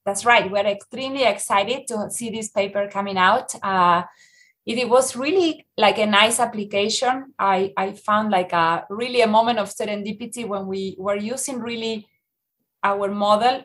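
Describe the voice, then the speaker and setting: A middle-aged woman from Argentina with an Argentine Spanish accent, a researcher talking in a podcast interview, often restarting and filling with "uh". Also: mid-range voice. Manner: halting, with many restarts and fillers. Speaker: researcher guest.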